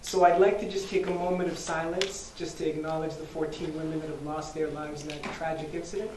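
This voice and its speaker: A man's voice: a little high, little pitch movement, fairly quick. A man hosting an event, giving earnest opening remarks with many fillers and restarts in a university lecture hall. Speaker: event host. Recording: echoey hall, distant mic, faint noise.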